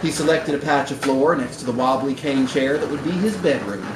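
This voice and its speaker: A man's voice: medium pitch, fairly quick. A man reading a short story aloud expressively at a live event, indoors in a bar. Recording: roomy, noisy live bar recording.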